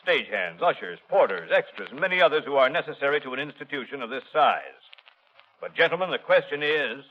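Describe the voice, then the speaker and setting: A man's voice: medium pitch, lively intonation, brisk, lightly acted. A man playing a stern, cautious police commissioner in a 1930s radio drama, speaking formally and emphatically. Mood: grave. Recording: dull, band-limited 1930s radio recording.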